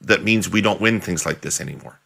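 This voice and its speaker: A man's voice: deep voice, a little fast, creaky, pushed and bright, slightly rough. A middle-aged man hosting a podcast interview, pressing his guest with probing follow-up questions over a video call from a home office. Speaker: podcast host. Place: home office with bookshelves, indoors.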